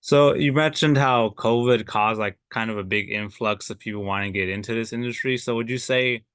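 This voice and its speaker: A young man hosting a podcast interview, asking loose, rambling questions studded with fillers. His voice sits fairly low but sounds bright and smooth. The sound is close and dry. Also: no room sound. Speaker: podcast host.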